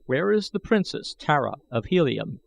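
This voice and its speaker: A man's voice: a little high, big pitch swings, normal speed, vocal fry, full character voice. A man voicing a bold, threatening warrior in terse, formal, demanding speech, as an audiobook character. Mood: stern.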